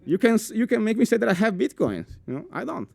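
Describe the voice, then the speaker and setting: A young man with an Italian accent giving a live conference talk in a full room, animated, with many fillers and restarts, asking the audience questions. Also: high voice, quick pace, gravelly, dry recording. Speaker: conference speaker.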